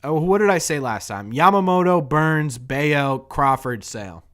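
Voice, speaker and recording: A hoarse young man with a New England accent, hosting a casual live-stream sports chat, rambling and thinking aloud as he answers viewers. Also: fairly high pitch, expressive, unhurried, a bit bright. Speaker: sports podcast host.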